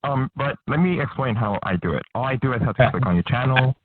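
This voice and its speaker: A man calling in to a talk show, speaking hesitantly with pauses and fillers. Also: medium pitch, animated. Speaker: caller. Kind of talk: phone-in conversation. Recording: tinny phone-line audio.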